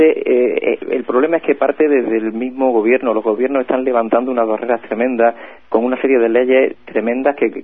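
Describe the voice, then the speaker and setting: A man with a Spanish accent from Spain comes through a thin phone line, his voice husky. He talks with earnest weight, stalling and repeating words as he builds his point. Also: mid-range voice. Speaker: guest. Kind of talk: earnest commentary.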